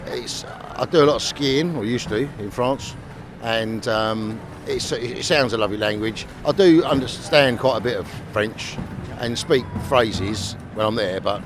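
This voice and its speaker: A man's voice: medium pitch, unhurried, few pauses. A man answering street interview questions in a matter-of-fact way. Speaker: passer-by. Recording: outdoor street noise.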